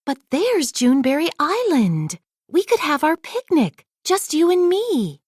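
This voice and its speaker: A woman's voice: high voice, huge pitch swings, measured pace, a little mellow, no room sound, clean studio sound, pitched above the performer's own voice, exaggerated voice. A woman voicing an eager, earnest young princess in a children's storybook, with a pleading childlike manner.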